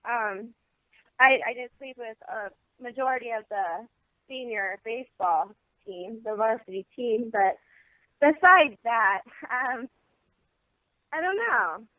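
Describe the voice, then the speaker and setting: A woman's voice: a little high, slow, dry recording. A young American woman giving candid, informal answers as an interview guest. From Baltimore area, eastern United States. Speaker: interview guest, adult film performer. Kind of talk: casual interview chat. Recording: tinny narrowband phone line, dry.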